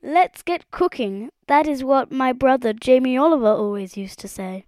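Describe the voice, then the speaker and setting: A woman voicing a short, squat, boastful male frog with a pompous, excitable manner in a children's story. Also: high voice, moderate pace, no room sound, studio quality, voice pushed up, lightly acted.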